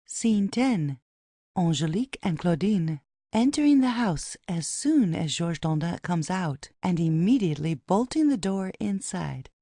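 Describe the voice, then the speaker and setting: A woman's voice: fairly low pitch, big pitch swings, a little slow, dark tone, no echo, clean studio sound. A woman narrator reading out scene headings and stage directions from a script.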